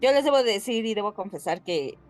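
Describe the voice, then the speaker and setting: A woman with a Mexican Spanish accent co-hosting a podcast, explaining things casually and haltingly as she addresses her listeners. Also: medium pitch, expressive, a little mellow. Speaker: podcast co-host.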